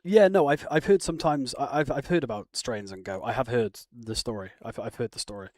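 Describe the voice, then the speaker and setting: A man's voice: mid-range voice, fairly quick, a little mellow, no room sound, clean studio sound. A man chatting casually on a live stream, rambling and answering viewer comments. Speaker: live streamer reacting to chat. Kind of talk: casual chat.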